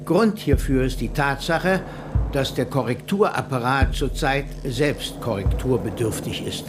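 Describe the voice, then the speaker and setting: An actor playing an unyielding committee bureaucrat in a radio play, formal, impersonal and officious. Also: male voice, medium pitch, measured pace, noisy background, lightly acted. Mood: formal, announcing. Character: unyielding male bureaucrat of a machine-run committee. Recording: loud music behind the voice.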